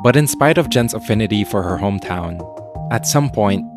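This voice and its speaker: A man co-hosting a podcast, reading scripted narration in a calm, even delivery. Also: lowish voice, brisk. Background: loud music bed.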